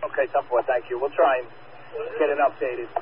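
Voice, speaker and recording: A man's voice: medium pitch, flat delivery, fairly quick, background noise. A man working as a fire field communications officer, giving clipped, procedural progress reports over a phone-like two-way radio.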